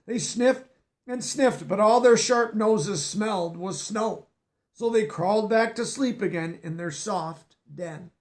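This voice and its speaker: High-voiced man reading a storybook. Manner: expressive, pauses to explain words to children. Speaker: storybook reader. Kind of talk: reading a picture book aloud.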